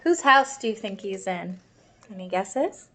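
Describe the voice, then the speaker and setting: A woman's voice: mid-range voice, expressive, unhurried, a bit bright, a little hiss or hum. A woman reading a picture book aloud to children expressively, with explanatory asides to her listeners. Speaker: storybook reader.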